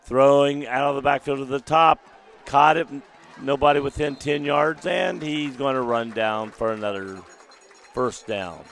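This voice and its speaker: A man with a deep, gravelly voice, calling a live local high school football game in a folksy manner while recovering from a lost voice. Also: measured pace. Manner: folksy, excitable on big plays. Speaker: play-by-play announcer. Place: outdoor stadium press box. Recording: outdoor stadium broadcast.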